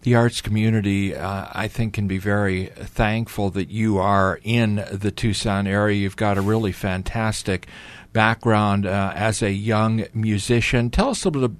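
A man's voice: on the low side, fairly even intonation, measured pace, no room sound. A man hosting a radio talk show, reading sponsor promos and chatting with a studio guest. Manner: chatty, reads promos then interviews.

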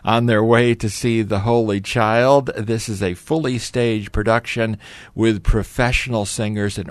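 A man's voice: fairly low pitch, no room sound. A man hosting a radio talk show, reading sponsor promos and chatting with a studio guest. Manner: chatty, reads promos then interviews.

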